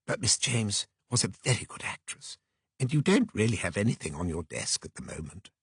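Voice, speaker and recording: Man putting on a soft, tactful female secretary. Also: fairly low pitch, sing-song, fairly quick, gravelly, breathy edge, no room sound, studio quality, exaggerated voice. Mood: gently persuasive. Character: brisk, deferential female secretary, Miss Kane. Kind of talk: audiobook character voice.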